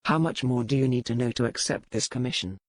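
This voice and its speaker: A man's voice: medium pitch, quick pace, dry recording, close to an everyday voice. A man playing a guarded, impatient ship's captain, terse and formal, in a historical romance audiobook. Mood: annoyed, formal. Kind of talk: dramatised audiobook dialogue.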